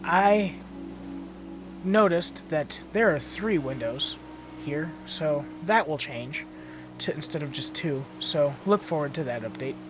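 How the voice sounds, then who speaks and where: Young male host, a bit high, gravelly and hoarse, sleepy and casual, swears and fixes his slips. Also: expressive, takes it slowly, music in the background. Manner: stumbles and corrects own words. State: tired, just woke up. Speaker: channel host touring a build. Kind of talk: casual gameplay tour narration. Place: bedroom at home.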